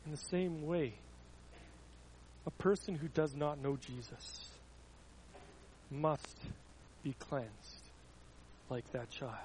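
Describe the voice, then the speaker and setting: A man with a notably high voice delivers a sermon built around simple stories. He talks in an informal, storytelling way, often tripping over his words and restarting a phrase. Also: takes it slowly, pauses often, a little mellow, a little hiss or hum, low-quality recording. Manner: storytelling, with frequent stumbling restarts. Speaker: preacher.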